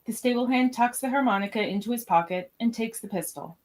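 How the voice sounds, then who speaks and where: A woman's voice: fairly high pitch, fairly quick. A woman reading scripted scene directions as narrator of a podcast radio play.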